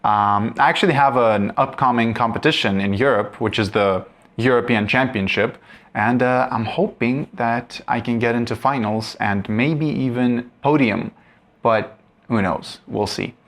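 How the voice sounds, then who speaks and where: Young male vlogger from Russia, native Russian speaker, talking casually to camera, thoughtful and hesitant, mid-range pitch. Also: unhurried, fairly bright tone. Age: young adult. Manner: thoughtful, hesitant, restarts when searching for words. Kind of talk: casual rambling vlog talk.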